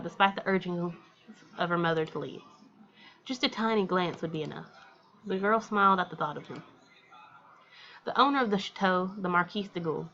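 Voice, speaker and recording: A woman's voice: fairly low pitch, a bit bright, muffled recording. An American woman reading a visual novel aloud and commenting casually as a let's play host. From Texas, United States.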